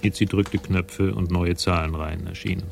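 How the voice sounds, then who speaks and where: An actor narrating a radio crime play soberly and matter-of-factly. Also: male voice, low-pitched, steady tone, moderate pace, creaky. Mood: sober narration.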